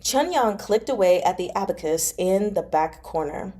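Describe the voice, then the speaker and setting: A woman's voice: medium pitch, lively intonation, normal speed, mellow. A woman hosting a read-along, narrating a novel expressively and adding casual, chatty commentary. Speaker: host of a live read-along.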